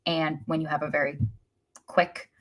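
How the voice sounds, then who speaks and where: A woman with a voice a little on the low side co-hosts a sports podcast. She chats casually through her analysis and is wry about it. Her criticism comes out blunt. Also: little pitch movement, normal speed, rough recording. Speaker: podcast co-host.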